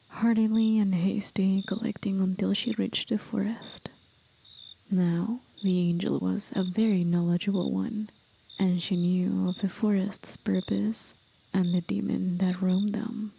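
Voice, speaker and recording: A gentle, teasing demon played by a woman tells an old tale in a soft whisper for an ASMR roleplay. The delivery is very slow and even, with a wistful, breathy hush. Faint cricket and insect ambience sits behind the voice. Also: mid-range voice, a little hiss or hum, rough recording. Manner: slow, hushed, measured storyteller. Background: crickets and night insects.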